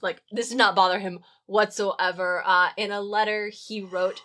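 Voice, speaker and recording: A woman's voice: mid-range voice, fairly even intonation, normal speed, bright, forceful tone. A woman co-hosting a podcast, explaining research in a casual, wry, opinionated and informal way. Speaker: podcast co-host.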